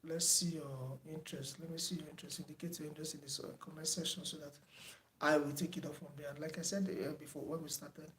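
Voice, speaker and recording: Soft-spoken male tutor, slightly high, unhurried and repetitive, echoey room with faint background noise. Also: level tone. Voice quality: soft-spoken, says he does not shout. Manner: unhurried, many fillers, repeats himself. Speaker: online driving theory tutor. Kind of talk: closing remarks to a live online class.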